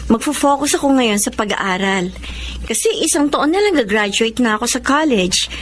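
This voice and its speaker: A woman acting in a Filipino radio drama as a self-conscious, earnest college student, with expressive, emotive delivery. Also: on the high side, normal speed, a little hiss or hum, lightly acted.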